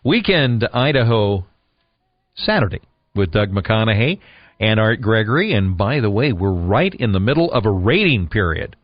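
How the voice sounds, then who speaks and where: A man's voice: on the low side, big pitch swings, moderate pace, no room sound. A man hosting a casual radio show with joking, teasing banter. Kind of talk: casual on-air chat. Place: radio studio. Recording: dull lo-fi radio air-check.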